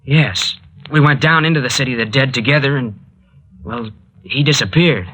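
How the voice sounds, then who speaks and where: Bold young American hero, bright forceful voice, mid pitch, earnest and urgent, radio drama. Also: male voice, moderate pace, poor audio. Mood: earnest, uneasy. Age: young adult. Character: earnest, bold young man, quick to protest. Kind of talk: 1940s radio acting.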